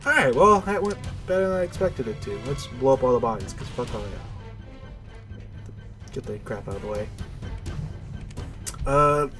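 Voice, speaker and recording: A man with a congested, nasal voice at a middling pitch talks through his gameplay casually and without a script. He rambles in a laid-back way, with music playing behind him. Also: expressive, moderate pace, long pauses, fairly bright tone. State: stuffy nose. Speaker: gamer giving video game commentary. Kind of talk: casual unscripted gameplay commentary.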